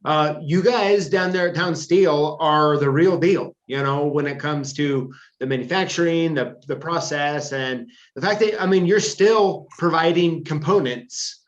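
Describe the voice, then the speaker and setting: A man's voice: a little high, unhurried, few pauses, lo-fi sound. A male show host interviewing a guest, talking casually and enthusiastically with lots of fillers and rambling asides.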